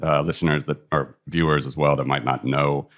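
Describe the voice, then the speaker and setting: A man's voice: very deep voice, flat delivery, a little fast, a bit bright. A man with an American accent from the Midwest, a guest talking frankly about his strong opinions. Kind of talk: casual interview talk.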